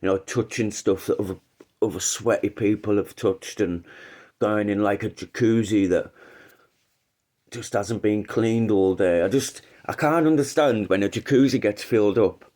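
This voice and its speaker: A man's voice: fairly low pitch, moderate pace, darkish tone. A man with a head cold, hosting a casual livestream and rambling through his opinions with frequent restarts. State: congested with a cold. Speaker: livestream host.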